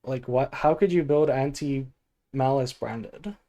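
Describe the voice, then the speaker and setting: Male streamer, mid pitch, dark soft tone, drowsy and low-key, casual commentary. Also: slow. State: sleepy. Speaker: game streamer. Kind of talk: casual gameplay stream chat and deck analysis.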